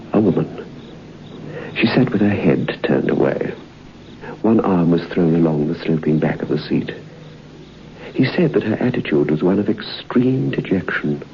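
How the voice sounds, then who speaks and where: An actor playing the narrator of an old-time radio ghost story, telling it in a measured, suspenseful way. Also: male voice, deep voice, lively intonation, vocal fry, exaggerated voice. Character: storyteller recounting a friend's eerie experience. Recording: muffled old radio broadcast, faint noise.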